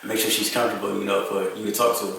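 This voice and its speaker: A man's voice: on the low side, level tone, very fast, gravelly, a bit bright. A twenty-three-year-old man, talking playfully and animatedly as a panelist in a casual discussion. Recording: echoey room, distant mic.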